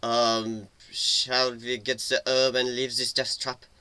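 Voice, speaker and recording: A man's voice: mid-range voice, flat delivery, slow pace, bright, forceful tone, smooth, dry recording. A man voicing a jumpy, sardonic male inventor adventurer in a dramatic fantasy story reading. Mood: uneasy, dry.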